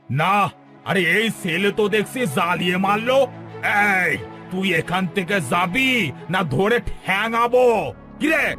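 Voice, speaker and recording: A man playing a gruff, bullying male tree cutter in a cartoon. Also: sits high, unhurried, sharp tone. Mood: irritated, threatening. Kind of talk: cartoon character voice acting. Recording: music under the voice.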